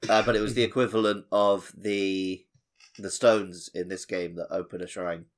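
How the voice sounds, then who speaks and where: A man's voice: sits low, little pitch movement, normal speed. A man co-hosting a gaming podcast, chatting casually with wry, self-deprecating humour. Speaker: podcast co-host. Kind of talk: casual, joking video game chat.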